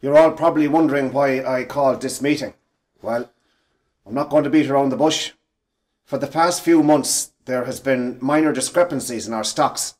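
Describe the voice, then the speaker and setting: A male actor as a stern company chief in a radio drama. His mid-pitched voice is measured and formal, addressing workers with businesslike firmness. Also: darkish tone, close to an everyday voice. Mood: formal, firm.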